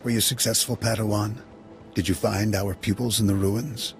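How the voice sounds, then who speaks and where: A man's deep voice, acting as a calm Jedi master in a video game. He sounds formal, deliberate and reasonable over faint music. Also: natural voice. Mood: solemn.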